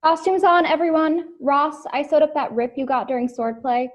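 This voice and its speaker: A woman's voice: high-pitched, normal speed, close to an everyday voice. A woman playing a sweet, polite, helpful young costumer's daughter in an audio play, recorded over a dull video call.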